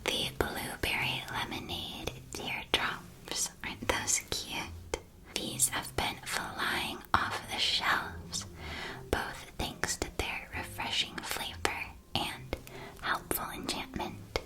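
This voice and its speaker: Casting: woman playing a friendly witch-world candy shopkeeper, ASMR roleplay, whispered throughout, gentle and very unhurried. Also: close to an everyday voice. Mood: gentle, inviting. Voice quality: soft, breathy whisper. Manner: gentle, unhurried sales patter. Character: friendly female shopkeeper in a magical candy shop.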